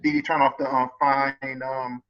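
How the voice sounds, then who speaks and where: A man calling in as a student, explaining haltingly with many fillers. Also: medium pitch, level tone. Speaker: student caller. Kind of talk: casual call. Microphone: online call. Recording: dull lo-fi call sound.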